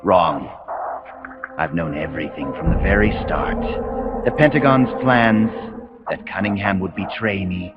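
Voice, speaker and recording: An actor with an American accent playing a calm, messianic military commander villain in a video game, speaking in solemn, grandiose, deliberate speeches. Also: male voice, low-pitched. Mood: calculating. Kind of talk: dramatic video game cutscene monologue. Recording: muffled game audio under loud music.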